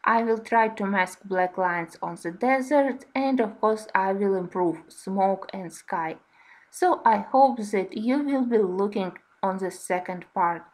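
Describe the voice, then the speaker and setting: A woman, a native Russian speaker, narrating a tutorial voice-over in careful, slightly halting non-native English. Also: mid-range voice. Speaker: host of a coloring tutorial channel.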